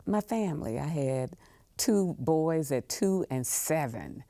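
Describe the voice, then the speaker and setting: Very deep, mellow older Southern woman. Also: animated, takes it slowly, no room sound. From Jackson, Mississippi, United States. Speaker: museum director emeritus, interview guest. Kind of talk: reflective interview conversation.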